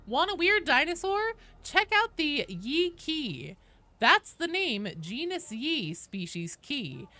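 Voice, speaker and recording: A woman's voice: fairly high pitch, bright, forceful tone, no echo. A woman hosting a livestream, talking casually and explaining game lore with firm opinions and casual swearing. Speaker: live streamer host.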